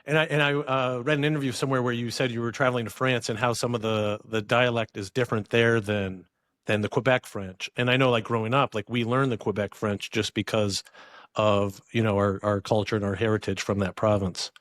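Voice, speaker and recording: A man's voice: lowish voice, fairly even intonation, fairly quick, fairly bright tone, no room sound, studio quality. A man from Maine hosting an interview, friendly and rambling with many ums and restarts. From Maine, USA. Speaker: podcast host. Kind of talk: casual interview.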